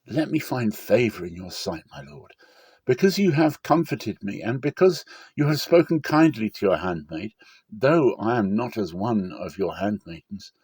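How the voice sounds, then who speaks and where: A man's voice: fairly low pitch, big pitch swings, moderate pace, a little mellow, breathy edge, dry recording. A man voicing a humble, earnest young widow. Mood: humbly grateful. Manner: deferential, earnest. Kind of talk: dramatic scripture storytelling.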